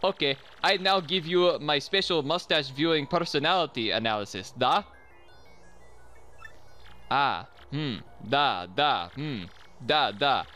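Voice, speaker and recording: A man voicing a self-important male bomb creature with a Russian accent, who analyzes mustaches in pompous, drawn-out pronouncements. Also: a little high, sharp tone, soft background music.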